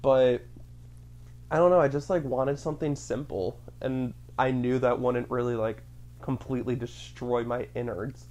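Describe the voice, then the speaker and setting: Rambling mid-pitched chatty storyteller. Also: steady tone, normal speed, darkish tone. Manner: rambling, filler-heavy, acts out own thoughts in quotes. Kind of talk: casual chat, storytelling.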